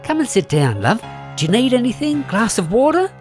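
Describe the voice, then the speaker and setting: A woman's voice: on the high side, big pitch swings, brisk, darkish tone, faint music behind. A woman playing an overanxious, fussing mother in a comedy radio play, fretful and full of alarm. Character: overanxious fussing mum, melodramatically alarmed by trivia.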